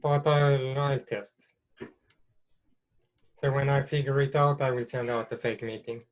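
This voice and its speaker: A man's voice: mid-range voice, fairly even intonation, unhurried, a little hiss or hum. A man taking part in an informal work meeting held as a conference call. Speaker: meeting participant.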